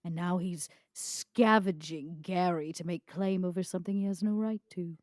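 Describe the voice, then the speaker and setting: Woman playing a mellow, low, blunt vampire leader. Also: normal speed, dry recording, studio quality, close to an everyday voice. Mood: scornful. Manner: blunt, dismissive.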